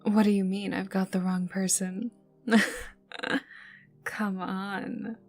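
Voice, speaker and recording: Woman playing slow, menacing villain Vi, ASMR roleplay. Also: medium pitch, little pitch movement, darkish tone. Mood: amused, taunting. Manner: slow, taunting, breathy dropping to whispers. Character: menacing teasing young female enforcer for a crime boss. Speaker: voice actor in audio roleplay. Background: ambient music and mild sound effects.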